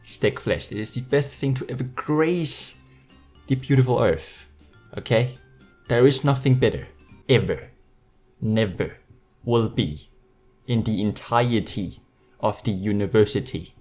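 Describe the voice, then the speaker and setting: A man's voice: mid-range voice, a little slow, pauses often, a little mellow, soft background music. An eighteen-year-old man from Denmark with a Danish accent, chatting casually and jokily to viewers as a YouTuber. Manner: jokey, slangy, playfully sarcastic. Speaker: YouTuber hosting a Q&A.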